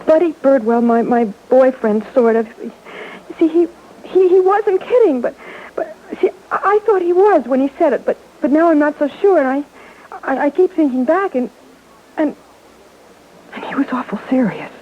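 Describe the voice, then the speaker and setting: A woman's voice: sits high, lively intonation, normal speed. An actress playing an anxious, fretful teenage girl in old radio suspense style, with hesitant, stammering speech. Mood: shaken, anxious. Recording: muffled old radio broadcast with music.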